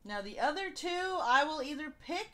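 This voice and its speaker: A woman's voice: high-pitched, animated, normal speed, background noise. A woman hosting a livestream in casual, chatty style. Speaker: livestream host.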